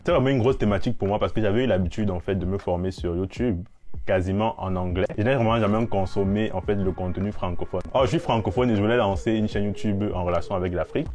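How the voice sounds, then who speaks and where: A man's voice: on the low side, dark tone. A man hosting a casual discussion, reflective and asking probing questions. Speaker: host.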